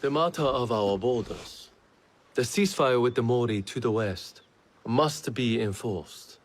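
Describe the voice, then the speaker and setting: An actor playing a resolute, measured samurai lord in a video game, speaking formally and gravely. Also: male voice, mid-range voice, close to an everyday voice. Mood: grave, accusing. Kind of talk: dramatic video game acting.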